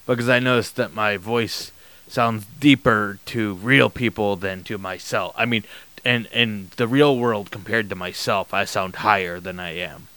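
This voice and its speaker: A man's voice: lowish voice, steady tone, measured pace, bright, forceful tone. A man co-hosting a casual anatomy podcast, mostly agreeable and rambling in his explanations. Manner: agreeable, rambling, defensive when challenged. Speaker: podcast co-host. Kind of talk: casual chat about anatomy.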